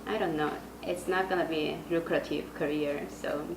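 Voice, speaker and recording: A woman's voice: deep voice, steady tone, normal speed. A woman with a Korean accent, a native Korean speaker, introducing herself in a personal way. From South Korea. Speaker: artist. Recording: echoey room with clear background noise.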